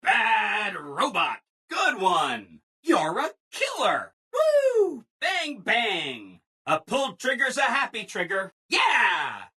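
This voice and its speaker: Game robot assistant: bright, very animated, ingratiating, relentlessly upbeat people-pleaser. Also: male voice, high voice, slow pace, hardly any pauses, husky, heavily acted. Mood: gleefully self-punishing. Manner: chipper, ingratiating, emphatic, apologetic people-pleaser. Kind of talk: scripted video game dialogue.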